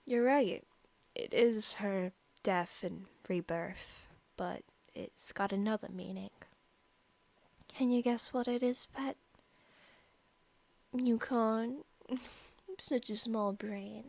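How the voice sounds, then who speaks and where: A young woman playing a possessive female vampire student in an intimate ASMR roleplay, softly spoken, partly whispered, coy and taunting. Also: a little high, fairly even intonation, vocal fry, no echo, exaggerated voice. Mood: cruel, taunting. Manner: soft, slow, coy talk sliding into taunting. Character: female student vampire, possessive, sweet-talking, menacing predator.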